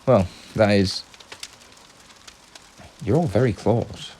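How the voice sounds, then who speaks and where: Casting: weary, spooked traveller for radio play. Low voice, halting and polite, some noise on the track. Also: male voice. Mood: uneasy, weary. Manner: halting, stammering, apologetic. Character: frightened exhausted male traveller seeking shelter, polite and shaken. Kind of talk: audio drama acting.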